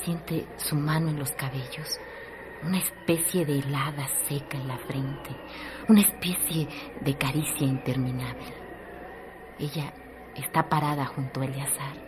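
A woman narrating a radio drama in a lyrical, measured way. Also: low voice, steady tone, background noise.

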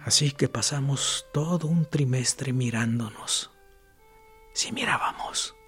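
An actor playing a wistful boy who recalls childhood longings in a hushed radio-play monologue. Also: mid-range voice, slow pace. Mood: shy, nostalgic. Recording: music bed under the voice.

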